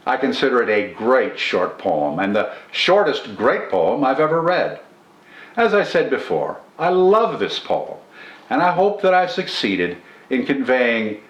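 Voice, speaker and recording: An older man presenting poetry in a formal, measured, scripted style, enunciating carefully. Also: medium pitch, highs cut off. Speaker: host of a poetry reading channel. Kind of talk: scripted literary commentary and poetry recitation. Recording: roomy indoor echo.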